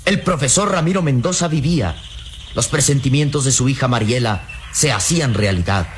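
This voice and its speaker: A man's voice: medium pitch, a little hiss or hum. A man narrating a radio adventure serial in a solemn, declamatory, suspenseful style. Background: faint music.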